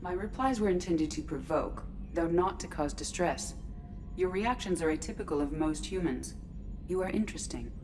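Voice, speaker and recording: Actress as female starship AI, very low pitch, calm, measured, detached, video game, noisy ambience behind. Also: natural voice. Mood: detached, curious. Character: calm, precise female starship artificial intelligence.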